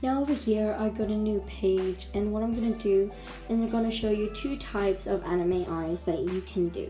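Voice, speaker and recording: A woman with a croaky, fading voice talking viewers through a drawing tutorial, chatty and repeating her steps. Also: mid-range voice, normal speed, over music. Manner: chatty, repeats instructions with fillers. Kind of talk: casual step-by-step drawing tutorial.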